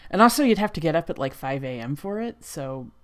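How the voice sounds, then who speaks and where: Low-voiced woman giving wry casual commentary. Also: expressive, brisk, fairly bright tone. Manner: wry, skeptical asides. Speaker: reaction video host. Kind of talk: casual off-the-cuff reaction commentary.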